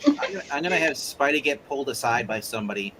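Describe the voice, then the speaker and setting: A man's voice: medium pitch, expressive, fast, noisy background. A man playing a tabletop roleplay game, chatting casually and stumbling through restarts. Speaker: roleplay player.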